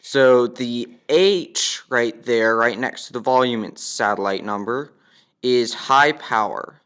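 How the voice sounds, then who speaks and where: A man's voice: mid-range voice, slow pace, a bit bright, clear, resonant tone. A young man giving a casual, matter-of-fact walkthrough as he demonstrates a product.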